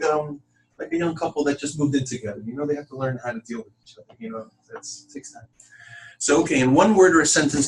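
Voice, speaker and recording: A man teaching an informal spiritual class to a small group, talking in a halting, conversational way. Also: fairly high pitch, fairly bright tone. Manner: halting, conversational, addresses listeners as friends. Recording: distant room mic with background noise.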